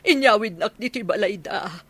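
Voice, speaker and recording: A husky, anxious older mother. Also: mid-range voice, expressive, moderate pace, dry recording. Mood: plaintive. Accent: Filipino. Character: anxious older mother, tender toward her son. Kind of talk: Philippine radio drama acting.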